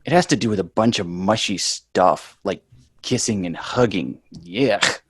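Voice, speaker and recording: A man's voice: fairly low pitch, unhurried, noisy background. A man playing a bratty, exasperated young boy in a sitcom table read, brash and sarcastic. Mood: mocking, explaining.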